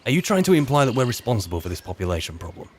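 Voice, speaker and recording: A man's voice: mid-range voice, big pitch swings, racing, slight background noise. A man giving casual role-play commentary as he plays, musing and muttering asides to himself. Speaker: gamer playing in character. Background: game music.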